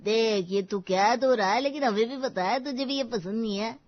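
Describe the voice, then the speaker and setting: A woman voicing a teasing, blunt office friend in an animated story. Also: fairly high pitch, normal speed, lo-fi sound, natural voice. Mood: mocking.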